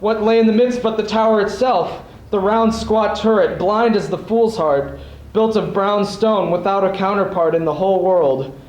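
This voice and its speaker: A man's voice: high-pitched, moderate pace, darkish tone. A young man giving a theatrical, declamatory recitation of poetry as a student speech competitor. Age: young adult. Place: school event stage before an audience. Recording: echoey hall.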